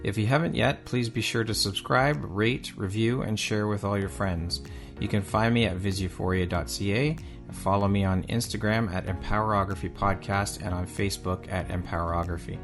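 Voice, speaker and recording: A man with a very low voice and a heavy creak hosts an interview show. He speaks in measured, appreciative, polished phrases. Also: fairly even intonation, soft background music. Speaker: podcast host. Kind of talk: interview hosting with polished outro.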